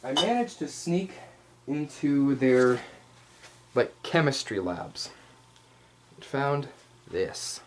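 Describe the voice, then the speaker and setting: A man's voice: mid-range voice, very animated, slow pace, pauses often. Guy doing casual asmr show and tell, rambly and jokey, swears a bit, some noise behind him. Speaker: ASMR roleplay host showing props.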